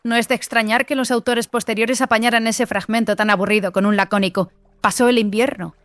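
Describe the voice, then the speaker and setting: Sceptical young female apprentice for fantasy audiobook, acted by a woman: fairly high pitch, irreverent, matter-of-fact, dry recording. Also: fairly even intonation, fairly quick, a bit bright, no echo, soft background music, lightly acted. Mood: dismissive. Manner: snorting, dismissive, matter-of-fact. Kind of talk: dramatised audiobook acting.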